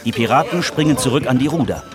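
A man's voice: mid-range voice, lively intonation, fast talker, natural voice. A man narrating a scripted children's adventure story over music. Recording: music under the voice.

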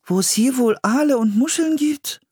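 A man voicing an eager, tender-hearted young girl with an excitable, expressive manner in a children's audiobook. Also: extremely high pitch, normal speed, slightly rough, no echo, studio quality, pitched above the performer's own voice. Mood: curious, eager. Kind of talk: audiobook children's story character voice.

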